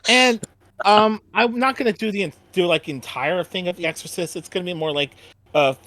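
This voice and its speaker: A man hosting a casual fan chat in a halting way, often repeating phrases as he goes. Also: high voice, pushed and bright, dry recording. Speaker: host of a fan discussion.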